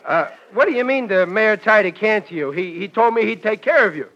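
Casting: bumbling, boastful American working dad, high forceful voice, folksy delivery, old radio comedy. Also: male voice, lively intonation, fairly quick. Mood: puzzled. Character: blustering, well-meaning working-class father and war plant worker. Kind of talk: 1940s radio comedy acting. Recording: dull vintage radio recording.

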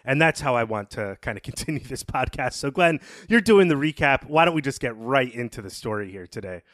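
A man's voice: mid-range voice, lively intonation, a little fast, a bit bright, no echo, clean studio sound. A man co-hosting a podcast, giving conversational literary commentary in an appreciative, thoughtful, analytical way. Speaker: podcast co-host.

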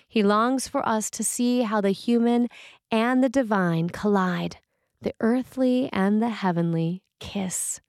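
A woman's voice: mid-range voice, slow pace, darkish tone, no room sound. Woman reading a sermon, dry close sound. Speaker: preacher reading a written sermon. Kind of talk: read sermon, measured and reflective.

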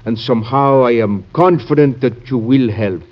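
An actor as a humble but fervent man pleading for his island people in an old radio play. He speaks solemnly at a medium pitch, choosing formal words with care. The recording is dull and narrow. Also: normal speed, natural voice. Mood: humble, grateful. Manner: solemn, careful, formal diction. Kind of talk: classic American radio drama acting.

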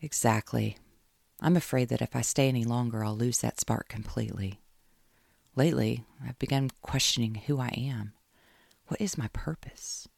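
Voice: medium pitch, normal speed, creaky, a little mellow, no echo, clean studio sound. A reader voicing a lost, doubting woman writer. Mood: weary.

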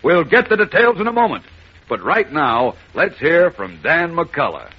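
A man's voice: a little high, sing-song, moderate pace, husky. An American man announcing in 1940s radio style, grand, dramatic and proclaiming. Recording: muffled old radio broadcast.

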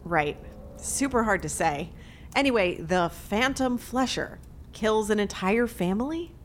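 A woman's voice: mid-range voice, expressive, a little slow, slight background noise, natural voice. A woman playing a skeptical, sarcastic hometown returnee in an audio drama. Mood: alarmed, sarcastic. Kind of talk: naturalistic audio drama acting.

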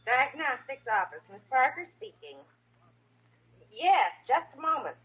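A woman's voice: high-pitched, animated, a little slow. A woman acting a polite, efficient hospital receptionist in an old-time radio drama. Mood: businesslike. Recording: tinny noisy vintage radio recording.

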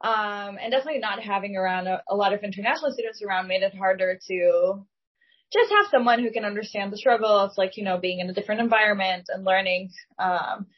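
A woman's voice: medium pitch, quick pace, lo-fi sound. A woman from Lebanon who speaks English as a second language, talking thoughtfully and carefully in a reflective, conversational interview. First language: not English (English is second language). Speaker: guest.

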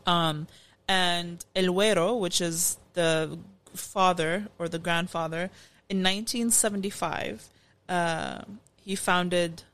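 A woman's voice: fairly low pitch, fairly even intonation, takes it slowly, fairly bright tone, smooth. A woman co-hosting a casual food-review chat, explaining background details with many "like" and "um" fillers. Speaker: podcast co-host.

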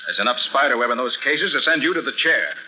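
A man's voice: medium pitch, animated, narrow, tinny audio, lightly acted. Man acting a hard-nosed detective on crackly old radio. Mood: tough, accusing. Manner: brisk, authoritative, interrogating. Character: hard-nosed, commanding male private detective. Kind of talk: 1940s radio drama acting. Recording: noisy, band-limited 1940s radio recording.

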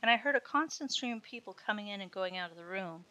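A woman reading a first-person story in a steady, even narration. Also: medium pitch, fast, highs cut off. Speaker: story reader.

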